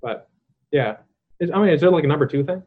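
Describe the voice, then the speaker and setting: A man's voice: a little high, lively intonation, fast talker. A man hosting a podcast interview, rambling in casual chat with many fillers and restarts. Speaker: podcast host. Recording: tinny phone-like line.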